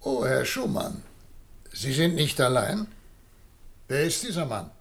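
An actor in a German radio play playing a haughty, ponderous president with a drawn-out, hesitant delivery. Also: male voice, medium pitch, sing-song, gravelly, a little hiss or hum. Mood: surprised, wary.

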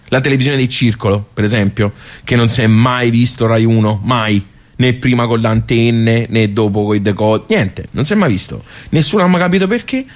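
An actor playing a nostalgic, grumbling old social-club regular in a radio soliloquy, with a Tuscan accent and a colloquial, halting, rambling delivery full of rhetorical asides. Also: male voice, medium pitch, natural voice. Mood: animated grumbling. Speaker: stage actor. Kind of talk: theatrical radio soliloquy, conversational.

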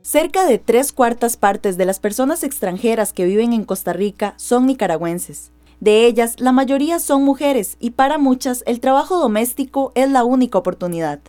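A woman's voice: a little high, expressive, moderate pace, dark tone. A woman presenting scripted radio news narration. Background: music.

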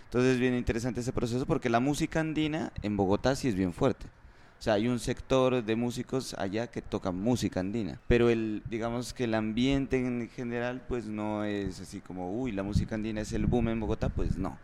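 A man's voice: mid-range voice, a little fast. Man from Pasto, band musician, resonant voice, casual and meandering. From Pasto, Colombia. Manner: relaxed, rambling with many fillers. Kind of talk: casual interview answers.

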